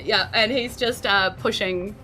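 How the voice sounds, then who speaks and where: A woman's voice: mid-range voice, measured pace, bright, forceful tone, faint music behind, rough recording. A woman with a New Zealand accent casually narrating a tabletop game as game master, playful in manner.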